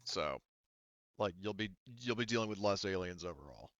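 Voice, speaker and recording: A man with a low, crisp and forceful voice runs a tabletop game in a casual way. He speaks slowly and haltingly, working things out aloud as game master. The sound is dry and a little muffled. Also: lively intonation, no echo.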